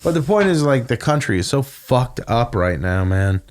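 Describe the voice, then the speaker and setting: A man's voice: mid-range voice, very animated, normal speed. A man in casual podcast chat, ranting and swearing heavily. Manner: profane, ranting. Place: home podcast studio.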